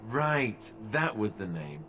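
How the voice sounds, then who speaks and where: A man's voice: fairly low pitch, very animated, takes it slowly, music in the background. Young male fantasy game warrior: wry, joking, friendly, very varied intonation. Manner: sarcastic, joking. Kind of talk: video game dialogue.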